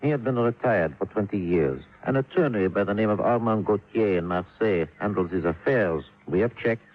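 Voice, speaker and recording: An actor playing a courteous French police inspector with a French accent, formal and precise, in old-time radio drama style. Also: male voice, lowish voice, normal speed, natural voice. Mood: formal, measured. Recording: muffled old radio recording.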